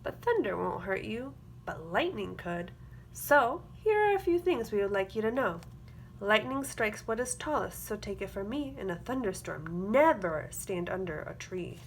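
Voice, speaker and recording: A woman reading a children's picture book aloud as a teacher, with expressive, rhythmic delivery. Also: medium pitch, moderate pace. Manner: rhythmic, expressive delivery of rhyming verse with explanatory asides. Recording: clear background noise.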